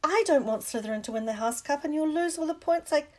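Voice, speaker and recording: A woman voicing a bossy, indignant girl of eleven in a storybook, shrill and scolding. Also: fairly even intonation, a little slow, dark tone. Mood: angry scolding.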